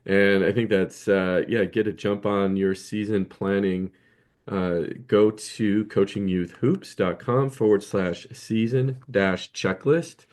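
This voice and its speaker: Low-voiced man chatting fast. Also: steady tone, darkish tone. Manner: quick bursts, many fillers like uh, you know. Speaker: podcast co-host. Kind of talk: casual chat.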